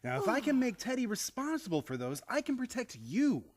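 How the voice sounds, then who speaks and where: A man's voice: extremely high pitch, very animated, fairly quick, full character voice. A man playing a frantic, exasperated nephew in a live old-time radio play, flustered and stammering. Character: frantic, exasperated nephew trying to control chaos.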